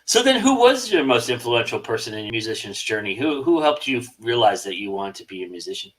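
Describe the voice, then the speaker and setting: A man's voice: medium pitch, sing-song, brisk, fairly bright tone, soft background music, poor audio. A man hosting an interview, asking questions and giving brief reactions. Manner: short prompts and brief reactions. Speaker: interviewer host.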